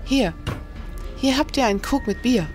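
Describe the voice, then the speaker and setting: A woman's voice: on the high side, animated, normal speed, a little mellow, soft background music, close to an everyday voice. A woman playing an attentive, gossipy tavern landlady in a period crime radio play, with obliging, old-fashioned formal speech. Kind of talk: German period crime audio drama.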